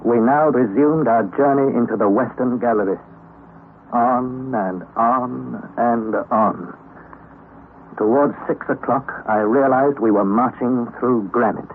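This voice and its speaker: A man's voice: mid-range voice, takes it slowly. An actor playing an earnest young man who narrates his own expedition in a vintage radio drama. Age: young adult. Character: young male explorer narrating, earnest and anxious. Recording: tinny old radio broadcast, faint hiss.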